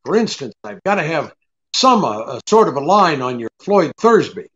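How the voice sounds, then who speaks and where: A man plays a shrewd private eye from a vintage radio mystery. His voice sits a little high and swings widely as he delivers wry, blunt remarks with small hesitations. The audio is slightly dull and lo-fi. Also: very animated, moderate pace, fairly bright tone. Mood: dry, probing. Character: shrewd, sardonic male private detective, cool and unruffled. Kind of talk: old-time radio detective drama re-creation.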